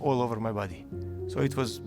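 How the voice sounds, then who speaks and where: Man in his fifties, Bosnian accent, gravelly voice, halting repeats, music bed underneath. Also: mid-range voice. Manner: halting, repeats words while searching for phrasing. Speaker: award recipient. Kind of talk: recorded interview testimonial.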